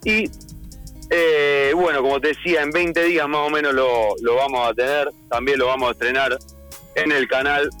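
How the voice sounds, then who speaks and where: A man's voice: mid-range voice, steady tone, moderate pace, fairly bright tone, soft background music. A man with an Argentine accent, a musician giving a casual interview in an informal, appreciative manner.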